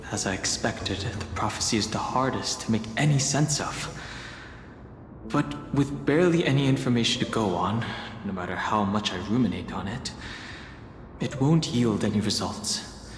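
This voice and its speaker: An actor playing a calm, analytical young traveler in a fantasy video game, speaking in a measured, contemplative way. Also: male voice, medium pitch, lightly acted. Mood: pensive. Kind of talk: English-voiced fantasy game dialogue and monologue. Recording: echoey reverb, music underneath.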